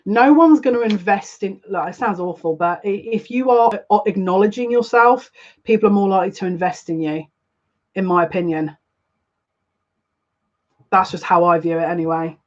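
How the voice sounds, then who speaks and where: Woman, psychic livestream host, earnest confiding talk, repeats herself, mid-pitched, slightly muffled stream audio. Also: normal speed. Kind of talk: live spiritual reading, casual monologue.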